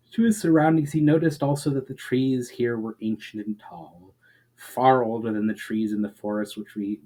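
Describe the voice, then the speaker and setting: A man's voice: mid-range voice, darkish tone, rough recording. Man giving a dramatic fantasy story reading. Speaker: author reading own story aloud. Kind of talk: dramatic audiobook-style narration of fantasy fiction.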